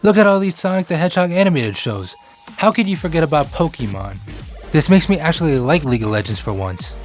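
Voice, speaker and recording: A man's voice: fairly high pitch, big pitch swings, fast, soft background music. A man hosting a video essay, delivering scripted narration.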